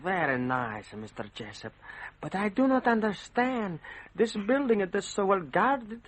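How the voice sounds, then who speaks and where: A man's voice: high-pitched, sing-song, unhurried, full character voice. An actor playing a foreign henchman and eager animal handler, deferential and coaxing, in stilted phrasing, in an old radio drama.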